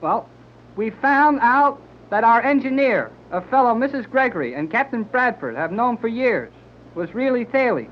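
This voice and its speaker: An excitable young male sidekick with folksy exclamations. The sound is a dull, lo-fi old radio serial recording with faint hiss. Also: very high-pitched, moderate pace. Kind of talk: 1930s radio acting.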